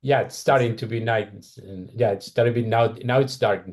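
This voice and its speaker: Deep-voiced man with a Spanish-speaking accent. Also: a little fast. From Argentina, also California and Spain. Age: 40s. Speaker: podcast guest, comic artist. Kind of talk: casual interview chat.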